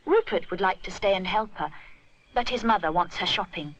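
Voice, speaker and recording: A woman's voice: mid-range voice, moderate pace, low-quality recording. A woman reading a scripted children's story expressively.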